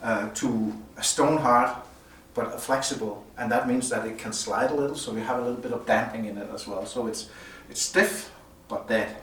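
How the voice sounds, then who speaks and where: Male product designer, explanatory and halting delivery, medium pitch, picked up at a distance with faint noise. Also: fairly bright tone. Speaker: loudspeaker designer presenting at a product premiere. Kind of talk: semi-technical product presentation, unscripted. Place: indoor room at an audio show. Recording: roomy, distant mic, some background noise.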